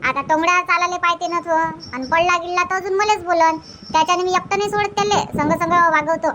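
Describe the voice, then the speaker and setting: A woman's voice: very high-pitched, fairly even intonation, quick pace, bright, forceful tone, slight background noise. A woman voicing a young, fretful village housewife in a cartoon, chatty and rustic, with a Varhadi Marathi accent. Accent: Varhadi Marathi, Vidarbha. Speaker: cartoon character voice. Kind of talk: homely cartoon comedy dialogue.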